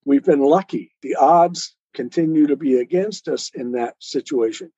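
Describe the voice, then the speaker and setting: A man's voice: a little high, normal speed, mellow, slightly rough. A man speaking as an interview guest, earnestly explaining spiritual ideas step by step in a didactic way. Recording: muffled, roomy, lo-fi sound.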